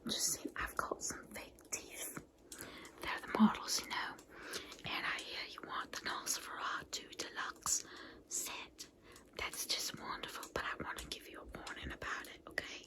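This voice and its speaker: A woman's voice: normal speed, lightly acted. A woman playing a chatty vampire saleswoman in a comedic ASMR roleplay, whispering breathily with rambling, sweetly patronizing endearments. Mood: helpful salesy. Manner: rambling, folksy endearments, confiding. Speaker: consultant selling vampire transformations.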